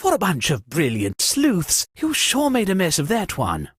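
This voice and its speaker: A woman's voice: lowish voice, huge pitch swings, breathy edge, dry recording, studio quality, full character voice. A woman voicing a theatrical, pompous aristocratic party host for a murder-mystery game, with sardonic flair. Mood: dry, sardonic. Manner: theatrical, pompous, drawn-out delivery. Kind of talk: dramatic game voice lines.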